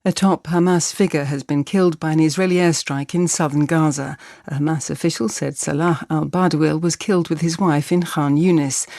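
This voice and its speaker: A woman's voice: low voice, steady tone, fairly quick, few pauses, darkish tone, no echo, studio quality. A woman with a British accent reading a formal, scripted radio news bulletin as newsreader. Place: dry broadcast radio studio.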